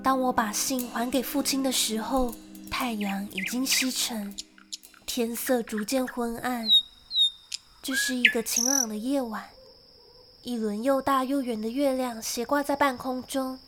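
A woman playing a young lord's daughter in a radio drama, speaking with gentle, clearly articulated storytelling. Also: a little high, fairly even intonation, a little slow, loud music behind the voice, close to an everyday voice. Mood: calm, storytelling. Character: young daughter of a castle lord, narrating her tale. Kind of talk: Mandarin radio drama acting.

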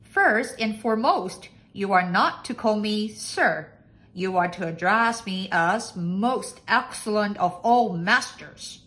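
A bossy, eccentric lord from a storybook, played by a woman. The delivery is unhurried and pompous, with emphatic commands and swooping intonation. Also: medium pitch, lively intonation, a bit bright. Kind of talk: storybook character acting.

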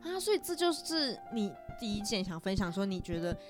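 A woman's voice: medium pitch, very animated, brisk, music in the background. A young woman hosts a casual chat, attentively prompting and echoing the other speaker. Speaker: podcast host. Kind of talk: casual chat, asking and responding.

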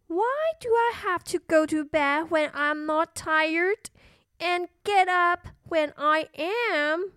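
A woman's voice: extremely high pitch, takes it slowly, a bit bright, dry recording, studio quality, voice pushed up, heavily acted. A woman voicing a stubborn little storybook princess with a whiny, protesting manner.

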